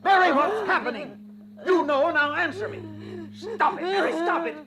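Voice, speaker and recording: An actor playing an alarmed, exasperated husband in an old radio suspense drama, urgent and demanding. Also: male voice, extremely high pitch, moderate pace, a crowd in the background. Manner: agitated, urgent questioning. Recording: old radio recording, loud background clamor.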